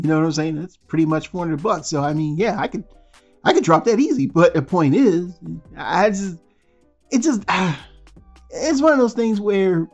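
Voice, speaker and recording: A man's voice: high voice, dull audio. A man hosting a toy news channel, speaking as a blunt, slangy, rambling voice-over over still images. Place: voice-over, not in pictured place.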